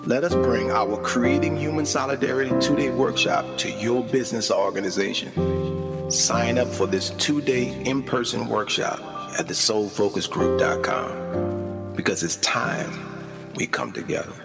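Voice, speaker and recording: A man's voice: mid-range voice, normal speed, gravelly, over loud music. A man reading a promotional announcement in an emphatic, rallying tone. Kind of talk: promotional ad read.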